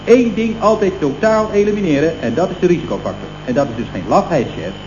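An actor playing an earnest, pedantic young employee, polite but stubborn and lecturing, in a 1960s radio comedy. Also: male voice, sits high, animated, fast, echoey room. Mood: earnest, explaining. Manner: lecturing in jargon, polite, hesitant. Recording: muffled old radio recording with hum.

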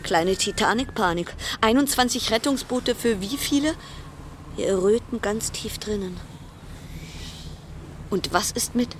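A woman's voice: medium pitch, a little slow, pauses often, a little hiss or hum, close to an everyday voice. A woman acting in a radio play as an anxious, sardonic quarantined passenger, delivering a brooding, dramatic interior monologue. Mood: agitated, sardonic.

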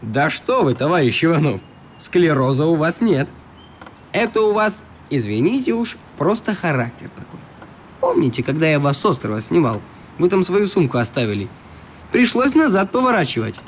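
A man's voice: fairly high pitch, normal speed. Friendly young boatman, radio play, swingy intonation, chatty and helpful, faint noise. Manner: colloquial, good-natured. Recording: light steady background noise.

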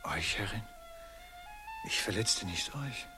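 A man's voice: on the low side, lively intonation, slow, music in the background. An actor in a radio drama playing a noble enslaved man, respectful, restrained and homesick, speaking formally and earnestly. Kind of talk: classic German radio drama acting.

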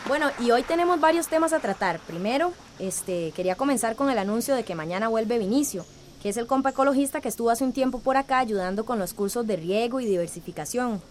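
Actress as central american community organiser lady, polite n reassuring, highish voice, faint room noise, radio drama. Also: lively intonation, fast, a little mellow, lightly acted. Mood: organised. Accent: Central American Spanish. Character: encouraging, orderly woman leading a village meeting.

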